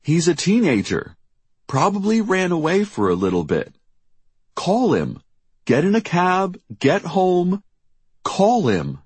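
A man's voice: mid-range voice, very animated, extremely slow, hardly any pauses, slightly rough, dry recording, pitched above the performer's own voice, full character voice. A man voicing a tired, injured woman with a terse, practical, blunt manner in an audiobook story. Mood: weary, blunt. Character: injured, exhausted, blunt young woman in hospital bed.

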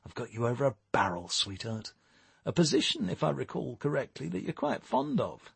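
A man's voice: medium pitch, huge pitch swings, normal speed, gravelly, husky, dry recording, full character voice. A man voicing a domineering, manipulative woman boss in an audiobook, with a cutting, taunting manner. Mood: smug taunting. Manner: taunting, cutting, mocking endearments.

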